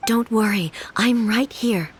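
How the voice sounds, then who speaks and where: A woman voicing a calm, dignified shogun in a video game, formal and composed. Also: fairly high pitch, slow pace, slight background noise. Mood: soothing, authoritative. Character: calm, regal female shogun, stern and dignified protector. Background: faint music.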